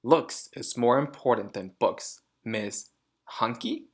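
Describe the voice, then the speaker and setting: A man's voice: medium pitch, animated, slow. A man voicing a vain, smug, scornful mother in a storybook, with brash and emphatic phrasing.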